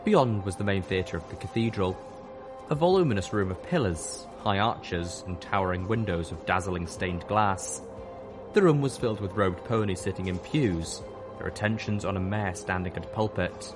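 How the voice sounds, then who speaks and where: A man reading a fan fiction audiobook aloud, narrating in a steady first-person manner. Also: sits low, normal speed. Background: music.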